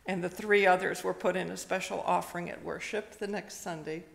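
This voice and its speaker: An older woman, a retired pastor, preaches slowly and gently, telling a personal story with pauses, at a pulpit microphone in a church. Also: on the low side, fairly even intonation, creaky. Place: church sanctuary, indoors. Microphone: stand microphone at a pulpit. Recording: long reverb of a church hall.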